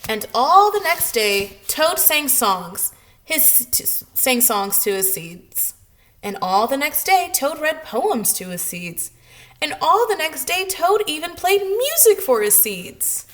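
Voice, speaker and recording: Woman preschool teacher for children's story time: higher-pitched, very slow, addressing little listeners directly. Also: very animated, fairly bright tone. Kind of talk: children's storybook narration and greeting.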